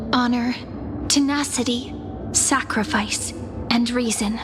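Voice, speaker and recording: An actress voicing a measured female storyteller, narrating an epic legend in a video game with solemnity. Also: on the high side.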